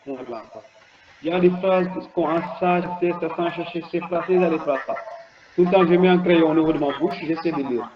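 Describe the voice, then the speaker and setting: A man's voice: a little high, dull audio, crowd noise. Mauritanian-accented man, hesitant and echoey. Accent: Mauritanian French. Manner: hesitant, restarts often, fast natural pace. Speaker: public speaking student. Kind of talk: reading exercise and discussion in a class.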